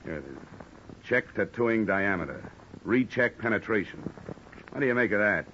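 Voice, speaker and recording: Low-voiced hardboiled male investigator, sardonic, clipped deadpan delivery, vintage radio play, muffled noisy recording. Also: normal speed. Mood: dry, guarded. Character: tough wry male insurance investigator, sardonic and unruffled. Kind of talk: 1940s-50s radio detective drama acting.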